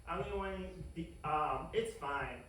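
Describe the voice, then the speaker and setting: A man playing a smug, greedy, wicked scientist in an animated comedy table read, stammering and brushing others off. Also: on the high side, a little slow. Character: smug wicked male mad scientist, greedy, childishly defensive, scheming. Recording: roomy echo, distant mic, faint hiss.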